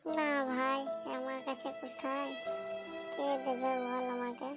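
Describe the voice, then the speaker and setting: A woman's voice: high-pitched, little pitch movement, slow pace, loud music behind the voice. A woman voicing a naive, curious young son in a comic cartoon, with a childlike questioning manner. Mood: wary, chatty. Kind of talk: amateur comic cartoon dubbing.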